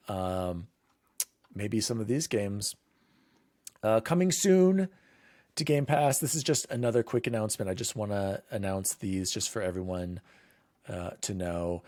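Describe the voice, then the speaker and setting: A man's voice: fairly low pitch, darkish tone, no echo, clean studio sound. A man hosting a gaming podcast, chatting casually and reading out news lists in an informal, conversational way. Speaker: podcast host.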